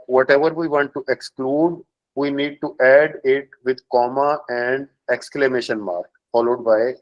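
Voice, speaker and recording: A man explaining software deployment steps in a workshop, pausing often with fillers. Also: medium pitch, dull audio. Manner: hesitant, many filler pauses. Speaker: workshop instructor.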